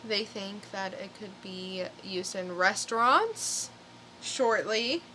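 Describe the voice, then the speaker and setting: A woman's voice: medium pitch, slow, bright, forceful tone, a little hiss or hum, low-quality recording. A woman co-hosting a podcast, chatting casually as she shares stories. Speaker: podcast co-host. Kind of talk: casual chat, telling news stories.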